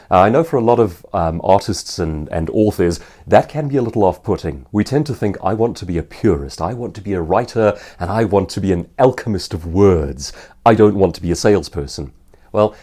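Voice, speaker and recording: Low, animated South African man. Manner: articulate, explanatory, poses rhetorical questions. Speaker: author and professional speaker answering viewer questions. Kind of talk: instructional talk to viewers.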